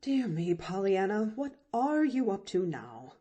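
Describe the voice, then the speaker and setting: Woman reading as a cold, impatient aunt. Warm-toned, varied pitch, clipped delivery. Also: big pitch swings, unhurried. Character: stern, impatient older aunt. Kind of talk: audiobook reading.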